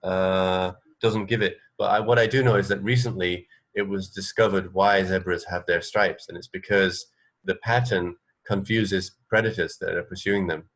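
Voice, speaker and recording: A man with a lowish voice co-hosts an informal radio show. He speaks conversationally and a bit haltingly, working out his thoughts aloud. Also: steady tone, a bit bright, low-quality recording. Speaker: radio co-host. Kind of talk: casual radio chat.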